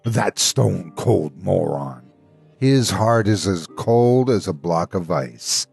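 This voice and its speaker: An actor playing a jolly, friendly village man in an audio storybook, hearty and theatrical, with a husky voice. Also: sits low, expressive, slow pace, darkish tone, full character voice. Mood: indignant. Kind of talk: audio storybook character acting. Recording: music behind.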